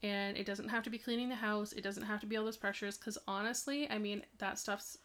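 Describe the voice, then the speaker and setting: Chatty mom co-host giving tips. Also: female voice, medium pitch, fairly even intonation, fairly quick. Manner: rambling, warm advice with fillers. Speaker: podcast co-host, parent. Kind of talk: casual chat, giving tips.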